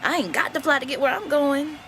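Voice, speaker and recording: Sassy, judgmental church lady played by a woman, high voice, radio drama, faint noise behind. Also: brisk, a bit bright. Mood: gossipy, scolding. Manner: sassy, opinionated, chatty. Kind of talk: stage-play dialogue for radio.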